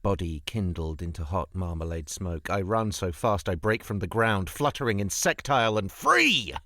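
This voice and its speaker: A man reading a literary poem with deliberate, dramatic phrasing, close to the microphone. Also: sits low, very animated, pushed and bright, no echo. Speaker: guest poet reading a poem. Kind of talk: literary poetry recitation.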